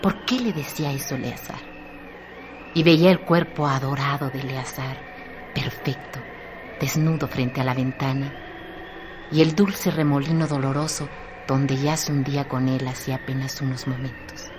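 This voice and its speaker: Female narrator, low pitch, dramatic literary reading, radio drama, clearly noisy background. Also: fairly even intonation, normal speed.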